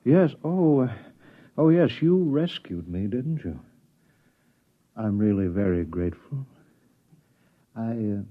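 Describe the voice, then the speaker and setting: A man's voice: fairly low pitch, expressive, slow, pauses often. An actor playing an odd, courteous older man in a 1950s radio drama. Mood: dazed, grateful. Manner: theatrical, talkative, courteous. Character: odd, genial old man claiming to be banished alien. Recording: muffled, band-limited 1950s radio recording.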